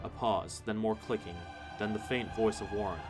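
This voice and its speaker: A man's voice: lowish voice, steady tone, normal speed. A man narrating a horror story in the first person, solemn and theatrical. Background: music.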